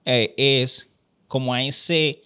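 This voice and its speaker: Bright-voiced man explaining casually. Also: medium pitch, fairly even intonation, extremely slow, clean tone. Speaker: podcast guest. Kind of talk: casual conversational testimony.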